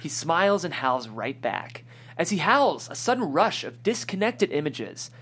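A man's voice: a little high, very animated, measured pace, fairly bright tone, husky. A man hosting a script reading and narrating stage directions in a descriptive storytelling style.

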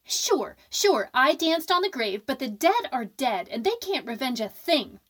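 A woman performs a young roommate in a horror radio play, with a fairly high voice. She is defiant and wracked with guilt, delivering her words with theatrical emphasis. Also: big pitch swings, measured pace, fairly bright tone, breathy edge, exaggerated voice. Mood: defiant, agitated. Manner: theatrical, emphatic, repeats phrases. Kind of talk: radio drama horror acting.

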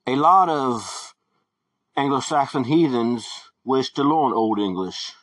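A man hosting a podcast, giving a scripted introduction in a measured, deliberate way. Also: medium pitch, a bit bright, no echo. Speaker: podcast host.

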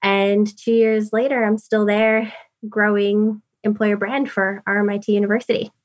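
A woman's voice: medium pitch, flat delivery, moderate pace. A woman in her thirties with a New York accent, telling her career story as a podcast guest in a chatty way, with many "like"s. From New York City. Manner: chatty, fillers like "like". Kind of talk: casual interview talk.

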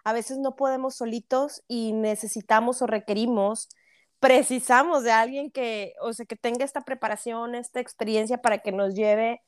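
Mexican lady podcast host, kinda high voice, chatty, dry close sound. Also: fairly even intonation, moderate pace, dry recording. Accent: Mexican Spanish. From Monterrey, Mexico. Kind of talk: casual podcast interview.

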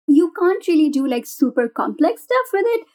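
A woman with a slightly high voice explains things in a casual, conversational way. She sounds like an enthusiastic, gushing guest developer. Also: big pitch swings, normal speed, mellow.

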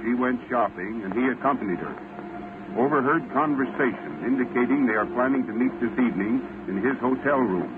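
An actor playing a dutiful field agent in an old-time radio drama, giving clipped, telegraphic reports. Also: male voice, mid-range voice, lively intonation, brisk, natural voice. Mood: businesslike. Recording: distant, very noisy, tinny radio transmission sound.

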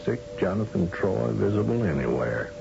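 An actor playing a shrewd, folksy, commanding space colony governor in an old-time radio drama, with hesitant asides. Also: male voice, fairly low pitch, sing-song, normal speed, creaky, full character voice. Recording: muffled radio drama recording, music, noise.

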